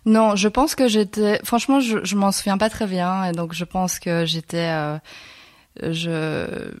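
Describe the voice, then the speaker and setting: A woman, recounting her own story as an interview guest, reflective and often pausing to find her words. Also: on the low side, steady tone, a little mellow, no room sound, clean studio sound. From Belgium. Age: 30s.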